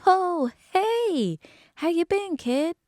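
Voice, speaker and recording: A woman's voice: extremely high pitch, lively intonation, extremely slow, darkish tone, no room sound, studio quality, full character voice. A woman playing a brash, sarcastic dinosaur park animal handler in an audio drama, talking in a wry, colloquial way. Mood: chummy, playful.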